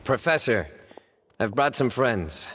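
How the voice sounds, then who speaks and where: A man voicing a serious, composed young investigator in a video game, measured and deadpan. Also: medium pitch, in a large hall, slight background noise.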